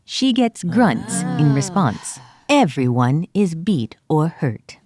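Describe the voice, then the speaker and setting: A woman's voice: deep voice, very animated, takes it slowly, mellow, slightly rough. A woman narrating a tabletop fantasy adventure as game master, in dramatic scripted storytelling style. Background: music.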